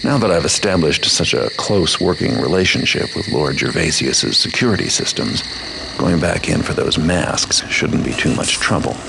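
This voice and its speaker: Video game master thief: bottom-of-the-range pitch, creaky gravel, weary, guarded, dryly sardonic. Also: male voice, lively intonation, moderate pace, noisy background, heavily acted. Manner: dry, sardonic, understated. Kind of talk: video game cutscene acting.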